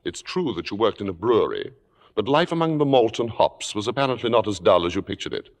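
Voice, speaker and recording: In a reverberant old radio recording, a suave, acid-tongued critic speaks. The low voice swings widely in pitch, with precise, urbane phrasing and a sardonic, controlling edge. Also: male voice, very animated, quick pace. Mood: cold, menacing. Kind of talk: 1950s radio drama acting and narration.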